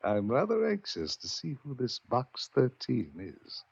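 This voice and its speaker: An actor playing an imperious, wealthy yacht-owning gentleman with a commanding, pompous manner in a 1940s radio drama. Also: mid-range voice, wildly swooping pitch, a little slow, creaky, husky, dry recording, full character voice. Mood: commanding, smug. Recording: muffled restored 1940s radio recording.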